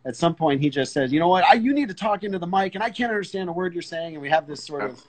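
A man's voice: sits high, animated, fast. A middle-aged man hosting a casual interview over a video call, thinking aloud as he frames his questions. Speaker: host, pilot interviewer. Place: indoors in an armchair, video call.

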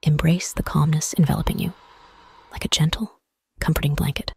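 A woman leads a guided meditation in a very breathy, partly whispered voice. It sits low, with a gravelly fry at the edges. She speaks in soothing, measured phrases with room between them. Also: fairly even intonation. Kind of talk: guided meditation, soft scripted narration.

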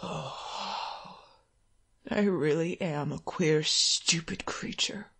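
A woman's voice: very high voice, steady tone, normal speed, pitched above the performer's own voice. A woman voicing a poor, ashamed father who speaks in a hollow, shaking voice and mutters to himself. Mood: muttering.

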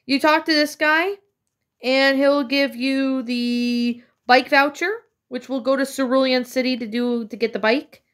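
A woman's voice: high-pitched, steady tone, a little slow, fairly bright tone. A woman casually commenting on her own gameplay, chatty and rambling with many fillers, slightly congested from a cold. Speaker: let's play gamer.

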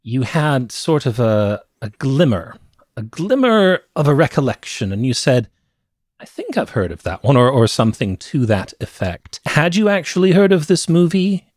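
Middle-aged man, podcast co-host, average pitch, deliberate casual storytelling, close mic, dry sound. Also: very animated, a little mellow, dry recording. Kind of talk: casual movie review chat. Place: cluttered home room with shelves. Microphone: close podcast mic with headset.

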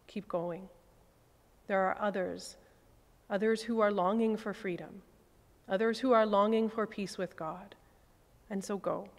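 A woman in her forties, a priest, preaching a sermon at a lectern, measured and reflective, with soft falling phrase endings. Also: medium pitch. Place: cathedral chancel at a lectern. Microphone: stand mic on lectern. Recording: long church reverb.

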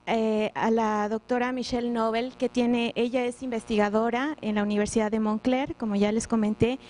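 A woman with a fairly high voice introduces the next speaker at a symposium in a formal tone, pausing on fillers. A faint hum sits under the recording. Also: a little mellow, rough recording. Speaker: panel moderator. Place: large auditorium.

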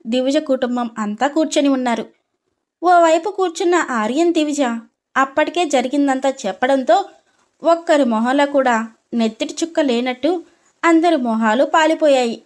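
A woman's voice: sits high, moderate pace. A woman storyteller reading a scripted story narration.

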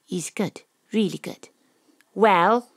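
A woman's voice: medium pitch, slow pace, dry recording, studio quality. A woman playing a determined, wry Welsh private detective, acting and narrating in a noir audio drama. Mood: cool, pressing.

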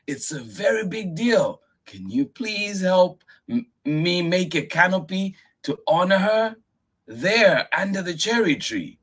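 A man's voice: high-pitched, sing-song, slow, fairly bright tone, breathy edge, voice pushed up, full character voice. A man voicing an eager, busy little girl who keeps pleading politely to stay up.

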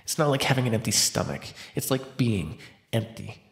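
A man acting a starving captive vampire, wildly expressive. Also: medium pitch. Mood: bleak, confessional. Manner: sardonic, teasing, breathy pauses and sighs. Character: starving captive male vampire, sardonic, flirtatious, desperate. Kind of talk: immersive ASMR roleplay monologue. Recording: roomy, echoing sound.

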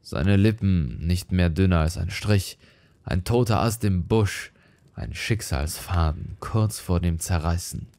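A man narrating a scripted horror story in a grave, measured, theatrical manner. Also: low voice, warm tone, dry recording.

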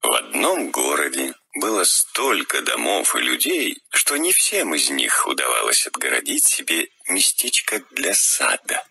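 Deep husky male storyteller. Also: huge pitch swings, unhurried, few pauses, pushed and bright, no echo. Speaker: narrator. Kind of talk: scripted fairy tale narration.

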